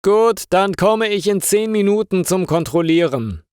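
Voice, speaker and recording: A man's voice: high-pitched, animated, measured pace, a little mellow, smooth, no room sound, studio quality, voice pushed up. A man playing a caring father who calls out concerned questions from the kitchen in a storybook reading. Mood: checking.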